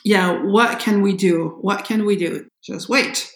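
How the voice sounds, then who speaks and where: A woman's voice: mid-range voice, slow, echoey room. A woman from France talking casually as a podcast co-host. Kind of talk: casual conversation.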